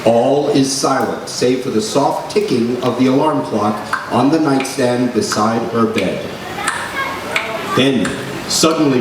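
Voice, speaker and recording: A man's voice: mid-range voice, recorded from a distance, lightly acted. A man acting as the dramatic, suspenseful narrator of a superhero radio serial in 1940s style. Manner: theatrical, suspenseful, declamatory. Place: large room, live radio show recreation. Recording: roomy, noisy live stage recording from afar.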